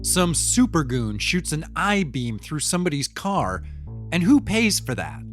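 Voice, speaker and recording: A male actor plays a pragmatic, cynical businessman in an audio fiction monologue. His voice sits a little high for a man. He explains things in a conversational, matter-of-fact and opinionated way, with music underneath. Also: sing-song, a little slow. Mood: wry, animated.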